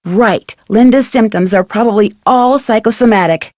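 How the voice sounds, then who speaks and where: A woman's voice: fairly high pitch, normal speed, a little mellow, no echo, studio quality, close to an everyday voice. A woman playing a curious young female detective in a video game, speaking conversationally with an American accent in an earnest, matter-of-fact way. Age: young adult. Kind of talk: video game dialogue acting, conversational phone chat.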